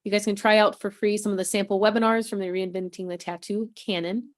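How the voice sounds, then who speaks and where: A woman hosting a casual livestream on a video call. She is chatty and upbeat and tired after a convention weekend. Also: medium pitch. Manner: chatty, upbeat, clears throat between announcements. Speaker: host.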